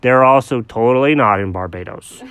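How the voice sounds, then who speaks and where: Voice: medium pitch, moderate pace, fairly bright tone, clear, resonant tone, dry recording, lightly acted. A storyteller voicing a cheerful know-it-all male dog who talks confidently, in a matter-of-fact way. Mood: breezy.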